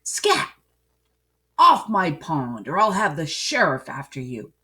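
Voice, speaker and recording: A woman's voice: deep voice, huge pitch swings, slow pace, bright, forceful tone, exaggerated voice. A woman voicing a crabby, scolding old man who hollers at children in a storybook. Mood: angry, hollering.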